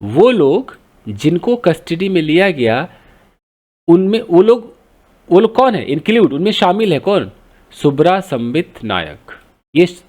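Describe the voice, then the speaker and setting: A man's voice: fairly high pitch, darkish tone. A man teaching English grammar from a newspaper, patiently repeating points and asking his learners questions. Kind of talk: grammar lesson explaining a newspaper.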